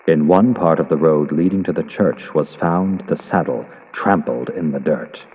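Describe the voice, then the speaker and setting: A man's voice: rumbling low, normal speed, husky. A man narrating a scripted story in the theatrical, suspenseful style of classic radio horror drama, with emphatic delivery. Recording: dull lo-fi audio under loud sound effects. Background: loud sound effects of ambience and horse hooves.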